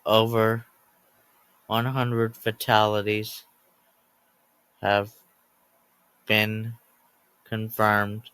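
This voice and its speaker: Very slow, halting man reading an emergency alert. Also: fairly low pitch, completely flat delivery, fairly bright tone, smooth, no room sound, rough recording. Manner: halting, breaking sentences into short word groups. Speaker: narrator of a homemade emergency alert scenario. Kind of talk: scripted emergency alert announcement.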